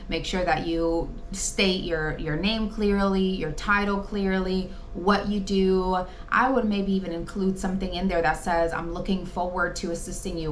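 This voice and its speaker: A woman's voice: lowish voice, a bit bright, slight background noise. A woman presenting an informal training talk, advising in an emphatic, conversational way. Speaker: presenter giving training tips to insurance agents.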